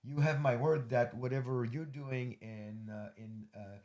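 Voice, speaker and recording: A man's voice: mid-range voice, steady tone, unhurried, darkish tone. Rambling middle-aged male ministry host. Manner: rambling, many fillers, earnest appeals. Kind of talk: podcast interview and sign-off.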